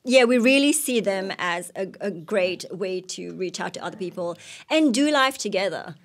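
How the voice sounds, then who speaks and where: A woman's voice: mid-range voice, animated, moderate pace. A woman in her thirties from Mauritius, speaking into a handheld mic as a missionary giving personal testimony in an onstage interview. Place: indoor stage with curtain backdrop. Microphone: handheld microphone.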